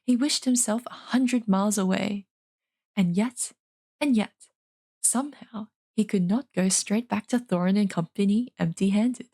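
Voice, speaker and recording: Husky woman reading a fantasy storybook. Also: mid-range voice, unhurried, dark tone. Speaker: streamer reading an audiobook. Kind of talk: dramatic storybook narration.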